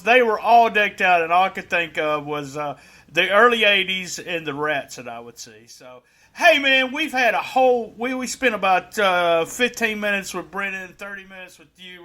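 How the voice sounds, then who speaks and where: Mężczyzna w średnim wieku, prowadzący podcast, jasny, dość wysoki głos, gada chaotycznie z żartami. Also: male voice, high voice, animated, normal speed, sharp tone. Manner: rambling, lots of uh fillers, joking. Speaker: podcast host. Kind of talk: casual interview chat.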